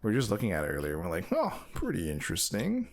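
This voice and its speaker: A man's voice: fairly low pitch, huge pitch swings, fast talker. A man in casual chat as a podcast co-host.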